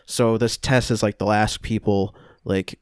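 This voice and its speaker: A man co-hosting a podcast, casually recapping a film to friends in a halting, conversational way. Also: on the low side, fairly even intonation, smooth, no echo, studio quality. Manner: halting, loosely conversational storytelling. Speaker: podcast co-host.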